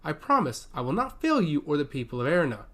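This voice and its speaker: Casting: male narrator as devoted young girl, fantasy story, earnest, respectful, raised pitch. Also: big pitch swings, fast talker, a bit bright. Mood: resolute, loving. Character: young daughter, devoted, hesitant yet resolute.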